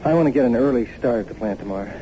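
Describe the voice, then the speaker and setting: Actor as a very mellow, easygoing young husband. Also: male voice, very fast, lightly acted. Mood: tired, relaxed. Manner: casual, low-key. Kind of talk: naturalistic radio drama acting. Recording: muffled, faintly noisy old radio broadcast.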